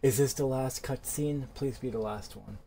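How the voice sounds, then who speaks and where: A man's voice: mid-range voice, normal speed, gravelly, mellow, a little hiss or hum. A man doing casual gaming commentary, grumbling impatiently and repeating himself. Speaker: gaming video creator.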